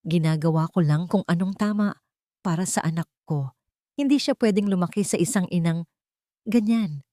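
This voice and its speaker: A woman's voice: on the low side, normal speed, mellow, dry recording, clean studio sound, close to an everyday voice. A woman voicing a cold, uncaring husband in a dramatic audio story, curt, stern and dismissive.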